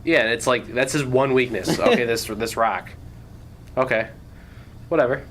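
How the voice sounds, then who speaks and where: A man's voice: mid-range voice, moderate pace, fairly bright tone. A young man co-hosting a podcast, chatting in a laid-back way and laughing along. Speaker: podcast co-host. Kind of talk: casual chat. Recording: faint background noise.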